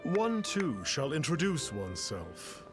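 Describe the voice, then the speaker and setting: Pompous, slow-talking self-styled immortal master. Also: male voice, on the high side, huge pitch swings, full character voice. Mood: lofty, self-satisfied. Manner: pompous, stately, archaic formal phrasing. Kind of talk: theatrical video game acting. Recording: game music behind voice.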